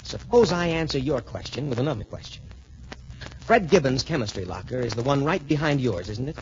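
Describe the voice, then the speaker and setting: Casting: male actor as wholesome college athlete hero, earnest, animated delivery, 1940s radio serial on a dull recording. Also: medium pitch, brisk, a little hiss or hum, close to an everyday voice. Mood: resolute. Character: earnest, trusting young male student boxer hero.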